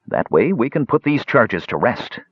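A man's voice: mid-range voice, big pitch swings, normal speed, slightly rough, no echo, pitched above the performer's own voice. A man voicing a cautious, persistent male village questioner in a fantasy audiobook. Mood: grave, firm. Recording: dull, lo-fi recording.

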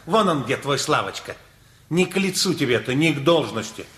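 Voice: medium pitch, lively intonation, moderate pace, a bit bright, natural voice. A performer playing a reproachful, grumbling member of a household with a needling, colloquial manner in a radio play. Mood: mocking. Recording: roomy echoing studio sound.

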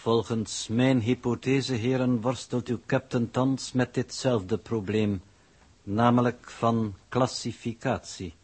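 An actor playing a coolly superior, secretive scientist in a 1960s radio play, formal, precise and pedantic. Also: male voice, medium pitch, fairly even intonation, slow, a little mellow, breathy edge. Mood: condescending, detached.

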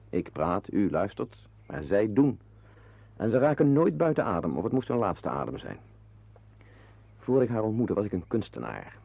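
A man's voice: on the low side, gravelly, dry recording, heavily acted. An actor playing a wry, brooding henpecked husband in a Dutch radio play, confiding his musings to the listener. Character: wry, brooding henpecked husband, animal-loving former artist. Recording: muffled, band-limited old radio play recording.

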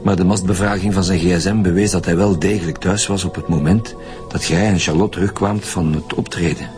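An actor with a Flemish accent playing a blunt, authoritative lead police detective in a radio drama, brisk and matter-of-fact. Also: male voice, low voice, fairly even intonation, music in the background, close to an everyday voice. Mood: probing.

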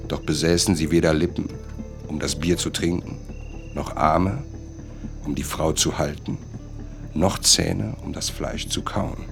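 An actor playing a brooding, world-weary narrator in a radio play, speaking deliberately and dramatically in a gravelly voice. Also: male voice, a bass voice, fairly even intonation, heavily acted. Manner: deliberate, dramatic, short phrases. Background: music.

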